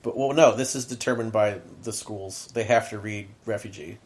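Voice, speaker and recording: A man's voice: fairly low pitch, normal speed, darkish tone. A man co-hosting a podcast, talking in a rambling, casual way with lots of asides. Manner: rambling, chatty, full of "like" asides. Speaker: podcast co-host and middle school teacher. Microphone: podcast microphone.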